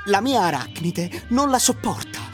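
Exasperated, highly animated shopkeeper. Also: male voice, a little slow, over music. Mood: exasperated, fussy. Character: exasperated, flustered male plant-shop keeper, Steve. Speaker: actor. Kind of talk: theatrical radio drama acting.

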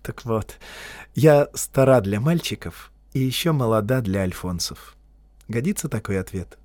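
A man voicing a poised, proud woman near forty who speaks softly with a lightly mocking edge. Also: medium pitch, measured pace, no room sound, close to an everyday voice. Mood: teasing.

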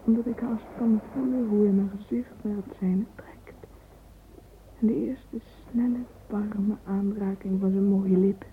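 A woman's voice: medium pitch, fairly even intonation, unhurried, slight background noise, natural voice. An actress playing a dreamy, romantic young woman in a radio play monologue, whispering intimately and fervently through a narrow, phone-like recording. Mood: hushed, infatuated. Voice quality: breathy, whispery. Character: dreamy romantic young woman lost in yearning love fantasies.

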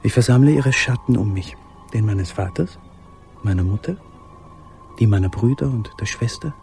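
Low, mellow young man. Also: normal speed, vocal fry, faint music behind. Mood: sorrowful, intimate. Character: young man reading his intimate, sorrowful letters. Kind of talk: radio drama letter monologue.

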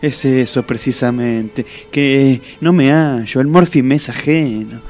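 Actor doing gloomy naive argentine guy on a date, mellow voice, hesitant, comic audio play. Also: mid-range voice, a little slow, soft background music, natural voice. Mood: glum, uneasy. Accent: Argentine Rioplatense. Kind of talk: comic audio drama acting.

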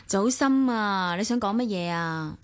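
A woman's voice: mid-range voice, animated, unhurried, close to an everyday voice. An actress playing a reserved, wistful married woman in a radio drama, with a Hong Kong Cantonese accent. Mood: guarded. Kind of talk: radio drama acting with inner monologue.

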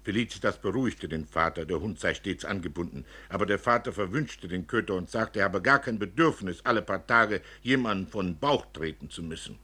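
A man reading a literary story for radio in a dramatic, expressive way, acting out the dialogue. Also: sits low, a little fast, hardly any pauses, slightly rough. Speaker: narrator.

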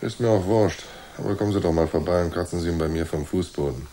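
An actor playing a wry, tough, laconic hero in a German radio crime drama, speaking in a dry deadpan. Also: male voice, deep voice, fast, slight background noise, lightly acted. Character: wry, tough, laconic man held at gunpoint.

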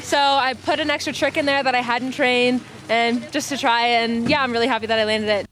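A nineteen-year-old English woman speaks eagerly after competing, her voice high and light. Faint outdoor noise sits behind her. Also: fairly even intonation, brisk, fairly bright tone, low-quality recording. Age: teens. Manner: relieved, eager. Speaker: athlete.

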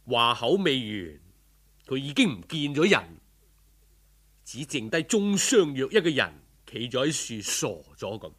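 A man's voice: medium pitch, very animated, a little slow, fairly bright tone, husky. A man with a Cantonese accent narrating a folk tale as a dramatic storyteller.

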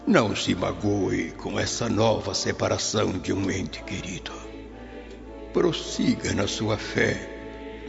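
An actor playing a tender, solemn old grandfather's spirit in a Brazilian radio drama, preaching consolation in measured phrases. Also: fairly low pitch, creaky, full character voice. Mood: tender, consoling. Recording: dull lo-fi audio under music. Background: music.

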